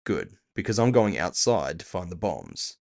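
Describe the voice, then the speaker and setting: A man playing a gruff, impatient cyberpunk bounty hunter, terse and sardonic, in an audio drama. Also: sits low, very animated, moderate pace, darkish tone, exaggerated voice. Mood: businesslike.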